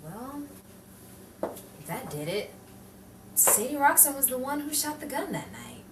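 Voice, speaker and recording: A woman's voice: high voice, very animated, unhurried. A woman playing a sardonic newspaper reporter in an old-time radio noir drama, with theatrical storytelling delivery. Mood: dramatic, knowing. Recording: roomy distant stage recording, faint noise.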